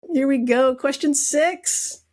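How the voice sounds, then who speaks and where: A woman's voice: high voice, drawn-out words, a little mellow. A giggly, irreverent woman reading out a comedic quiz casually as a podcast guest.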